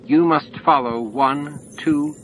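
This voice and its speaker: A man voicing cryptic alien entities in an audiobook, solemn and insistent, with deliberate, stilted phrasing and pauses between words. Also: on the high side, noisy background, pitched above the performer's own voice. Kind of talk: audiobook character dialogue.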